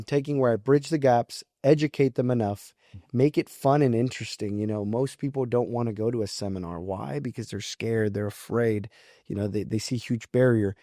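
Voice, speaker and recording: A young man in his early twenties with a mellow, relaxed voice, recorded very dry and close. He speaks as a podcast guest in casual, polite, informal explanations. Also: medium pitch, dry recording. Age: early 20s. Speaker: podcast guest, real estate agent. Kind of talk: casual interview talk, explaining his approach.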